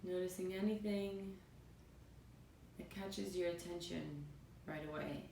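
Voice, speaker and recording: A woman yoga teacher leading a guided meditation in a soothing manner, with unhurried phrases and pauses. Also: vocal fry, dark tone. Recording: roomy, distant mic, faint noisy rumble.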